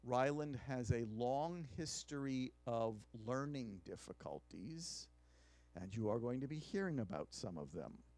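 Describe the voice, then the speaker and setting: A man's voice: mid-range voice, animated, measured pace, a little mellow, dry recording. Middle-aged man lecturing with a handheld mic. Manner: conversational, asks the audience questions. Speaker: clinical psychologist giving a training talk. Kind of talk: workshop lecture. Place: conference room.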